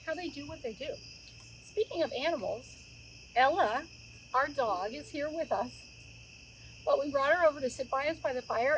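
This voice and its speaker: High-voiced woman chatting outdoors among crickets. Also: fairly bright tone, rough recording. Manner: conversational, addresses listeners with questions. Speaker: children's story-time host and reader. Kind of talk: chatty, informal talk to young viewers. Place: outdoors by a campfire in woods. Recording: outdoor recording with clear insect noise. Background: crickets and insects, outdoor ambience.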